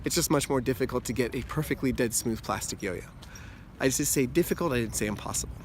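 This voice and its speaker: A man hosting his own channel, talking casually to camera with a slightly hoarse voice from a cold, explaining things in a relaxed, rambling way. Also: mid-range voice, fast talker, slight background noise. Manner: relaxed, rambling explanation with frequent "you know" and "like". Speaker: yo-yo channel host.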